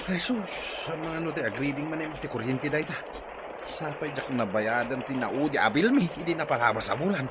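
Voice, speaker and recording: A man acts out a hapless, hard-drinking husband in an Ilocano-accented radio comedy. His voice swings widely in pitch, with hesitant grunts and stammers as he dodges blame. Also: animated, a little fast, very noisy, close to an everyday voice. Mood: irritated, evasive. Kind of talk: comedic radio drama acting.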